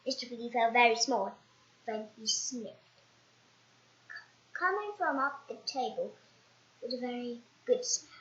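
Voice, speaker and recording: A child reading a picture book aloud in a halting way, stumbling and correcting words. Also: medium pitch, fairly bright tone. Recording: roomy echo, dull lo-fi sound.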